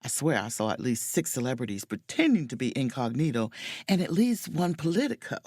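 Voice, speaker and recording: An actress playing a wry, self-mocking adventurous officer, narrating and speaking in a first-person audio drama in a confiding, playful way. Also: female voice, extremely low pitch, big pitch swings, fairly quick, a little mellow, breathy edge, no echo, studio quality, heavily acted.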